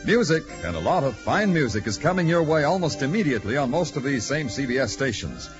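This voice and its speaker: A man's voice: medium pitch, sing-song, fast talker. A man announcing in the style of 1940s network radio. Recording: muffled vintage radio, music behind.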